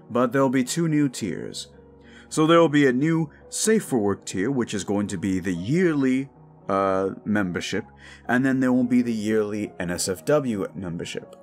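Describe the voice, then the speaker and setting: A man giving an informal, rambling outro to his listeners as the creator of an audio drama. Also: mid-range voice. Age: adult with young child. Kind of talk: casual outro chat to listeners.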